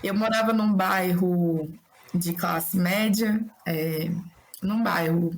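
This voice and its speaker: A woman with a Brasília Brazilian accent, slightly hoarse from a cold, chatting reflectively and discursively as a singer-songwriter guest in an interview. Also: on the low side, steady tone, takes it slowly. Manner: talkative, discursive, philosophical. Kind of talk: casual reflective interview chat.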